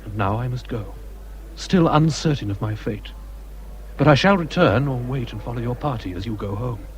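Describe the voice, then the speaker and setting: An actor playing an ardent, anguished naval captain in love, speaking earnestly and formally in a period literary drama. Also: male voice, mid-range voice, moderate pace, a little hiss or hum, natural voice, rough recording. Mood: impassioned longing.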